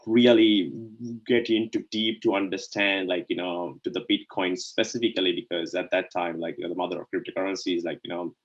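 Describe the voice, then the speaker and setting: A man's voice: sits low, steady tone, brisk, few pauses, highs cut off. A man from Sri Lanka, a guest in an interview, answering casually and filling his talk with "like, you know" and "right?". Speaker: guest, DeFi co-founder.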